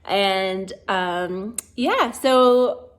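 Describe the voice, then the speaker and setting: A woman's voice: medium pitch, extremely slow. A woman hosting an informal show, introducing performers and asking them questions in a casual way. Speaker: show host. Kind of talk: casual spoken introduction and interview.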